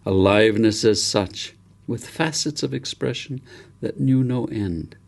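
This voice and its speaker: A man reading his own novel aloud as literary narration, solemn and reverent, with deliberate phrasing and dramatic pauses. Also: mid-range voice, gravelly.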